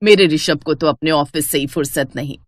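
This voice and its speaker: Woman playing kindly middle-aged mother, soft hesitant speech, storybook cartoon. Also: low voice, normal speed, dry recording, clean studio sound, voice pushed down. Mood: mild.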